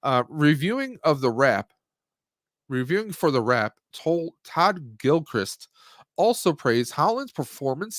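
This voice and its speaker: A man's voice: on the high side, fairly bright tone, dry recording, studio quality. A man hosting a casual movie review stream, talking in a rambling, conversational way and often rephrasing himself. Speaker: podcast host.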